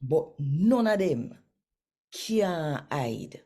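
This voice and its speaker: A Jamaican woman with a very low voice reads scripture in a devotional style. Her tone is dark and soft-edged with some huskiness, and she takes her time, speaking quite slowly. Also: sing-song, husky. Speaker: host reading daily scripture. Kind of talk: scripture reading and devotional talk in Jamaican Patois.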